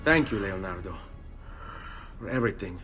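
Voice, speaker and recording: A man's voice: low-pitched, animated, moderate pace, a bit bright, soft background music. An actor playing a young Italian nobleman with an Italian accent, polite yet vengeful, in a video game. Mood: polite, earnest.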